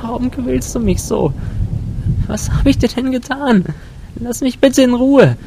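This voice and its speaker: A man's voice: very high-pitched, unhurried, gravelly, noisy background, pitched above the performer's own voice, exaggerated voice. A man voicing a frightened young girl in a story, pleading and timid yet defiant, with a thin, fragile voice.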